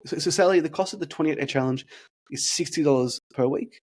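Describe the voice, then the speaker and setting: A man's voice: fairly high pitch, darkish tone. Stuttering man talking sales. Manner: talks through deliberate stutters and repeated words. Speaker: fitness business consultant. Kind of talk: casual podcast chat, role-playing sales calls.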